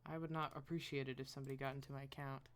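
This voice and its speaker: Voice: mid-range voice, level tone, very fast. A game streamer chatting casually and rambling while thinking aloud on a live stream.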